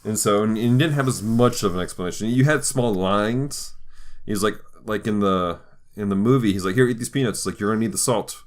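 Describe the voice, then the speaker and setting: A man hosting a casual pop-culture talk, retelling scenes in an animated way and slipping into quoted voices. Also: on the low side, a little fast. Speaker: podcast host.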